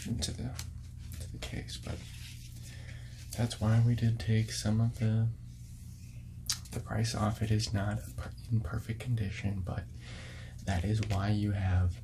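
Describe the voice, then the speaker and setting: A man soft-speaking and whispering in an ASMR role-play as a laid-back, friendly record store clerk, repeating phrases in casual sales patter. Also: fairly low pitch, level tone, unhurried, pauses often, noisy background.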